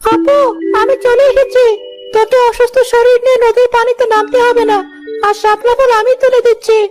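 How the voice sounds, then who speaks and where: Woman voicing a squeaky-high, earnest little boy. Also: little pitch movement, normal speed, slight background noise. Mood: reassuring, caring. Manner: earnest, childlike. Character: caring, protective little stepbrother, a small village boy. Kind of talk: cartoon moral-story dialogue. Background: loud music.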